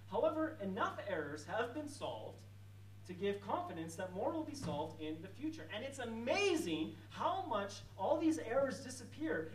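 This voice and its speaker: A woman teaching a Bible class from prepared notes, earnest and explanatory, with occasional emphatic asides. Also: mid-range voice. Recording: roomy, distant mic with faint hum.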